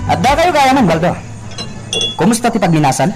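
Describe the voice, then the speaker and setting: A man with an Ilocano accent playing a playful, romantic young gentleman from a landowning family in a radio drama. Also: sits high, very animated, brisk, music in the background. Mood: breezy, commanding.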